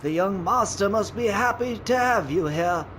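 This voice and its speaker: A man's voice: sits high, animated, normal speed, a bit bright, a little hiss or hum. A man playing a courteous, dignified old butler with formal, deferential speech in an audio drama. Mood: polite, welcoming.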